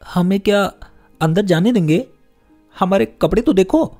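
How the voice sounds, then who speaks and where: A man's voice: sits high, unhurried, a little mellow, soft background music, pitched above the performer's own voice. A man voicing a wary, hungry little boy from a poor home in a story, hesitant and grumbling.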